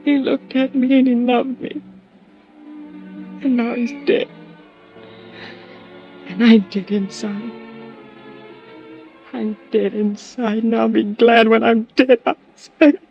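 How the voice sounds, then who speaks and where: An actress playing a bereaved, bitter young wife in 1950s American radio drama, halting and tearful as she confesses. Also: on the high side, steady tone, creaky, soft background music. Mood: hopeless despair. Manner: halting, repeating herself in anguish. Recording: dull old radio recording.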